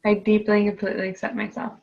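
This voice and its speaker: A woman's voice: mid-range voice, level tone, racing, gravelly, darkish tone. Hesitant woman on a dull recording. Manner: hesitant, searches for words. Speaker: client. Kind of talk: repeating tapping phrases and describing feelings.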